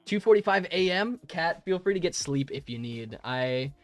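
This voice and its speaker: A man's voice: on the high side, animated, moderate pace, dry recording, soft background music. A young adult man live streaming and chatting casually with viewers while he plays, friendly and rambling, with frequent laughs and restarts on a modest home microphone. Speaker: live streamer answering chat.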